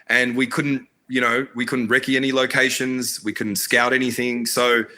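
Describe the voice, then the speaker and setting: Bright-voiced man, earnest and halting. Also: medium pitch, level tone. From Iraq, raised in Australia. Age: adult, married. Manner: earnest, halting, many fillers and restarts. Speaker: filmmaker guest. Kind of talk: casual interview chat.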